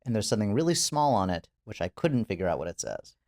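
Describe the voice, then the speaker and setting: A man's voice: lowish voice, big pitch swings, fast talker, a little mellow. Guy interviewing his neighbor, playful curious questions, voice jumps all over. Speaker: interviewer, host. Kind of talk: casual interview chat.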